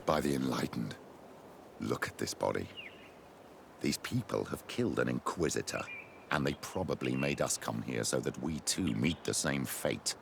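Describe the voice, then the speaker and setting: An actor playing an older, smooth-tongued bishop in a video game, with a courtly, theatrical manner. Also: male voice, very deep voice, expressive, moderate pace, background noise, full character voice. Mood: grave, suspicious. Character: older male bishop, flattering, smooth schemer.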